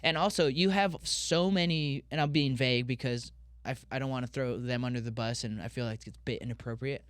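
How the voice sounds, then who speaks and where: A man in his thirties from Colorado, chatting as a podcast guest in a candid, rambling way, circling back and restarting his sentences. His voice is smooth and clear, sitting at a middle pitch, and it sounds dry, with almost no room around it. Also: fairly quick, hardly any pauses, no room sound. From Colorado, USA. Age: 30s. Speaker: guest, pro skateboarder. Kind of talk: casual podcast interview.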